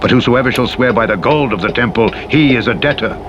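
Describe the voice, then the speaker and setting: A man's voice: quick pace, highs cut off. A man reading the Bible as a dramatic audiobook narrator, in a solemn, declamatory and emphatic manner. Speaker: scripture narrator. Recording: very loud vehicle engine noise behind. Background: truck driving game engine rumble.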